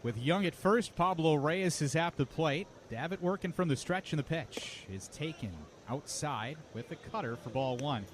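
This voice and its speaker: Higher-pitched male baseball play-by-play announcer. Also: animated, moderate pace, hardly any pauses. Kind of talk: live radio sports commentary. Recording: clear outdoor ambient noise behind. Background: outdoor ballpark ambience.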